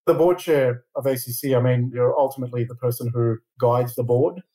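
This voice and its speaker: A middle-aged man born in Iran who speaks answers interview questions in a rapid, level voice. His tone is mellow and dark. He is measured and often repeats a word as he starts a phrase. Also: medium pitch, fairly even intonation. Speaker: interview guest, lawyer and board chair.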